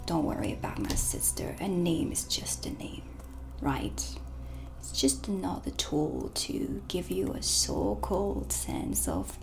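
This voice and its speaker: Dark witch, female performer: mysterious, proud, coolly menacing; theatrical ASMR whisper with a creaky edge and widely swinging intonation. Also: lowish voice, heavily acted. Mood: proud, intense. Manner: slow, breathy, theatrical, deliberate whispering. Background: dark ambient music.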